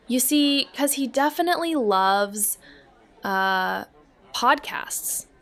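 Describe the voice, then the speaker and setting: An actress playing an awkward, evasive young niece in a naturalistic audio drama, halting and flustered as she talks. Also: fairly high pitch, a bit bright, full character voice. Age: young adult.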